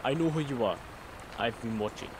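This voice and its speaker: A man playing a secretive, guarded synth copy of a Soviet spy in a game mod, explaining in a measured way. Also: mid-range voice, animated, lightly acted. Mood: secretive, matter-of-fact. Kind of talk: scripted game mod dialogue. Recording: noticeable background noise.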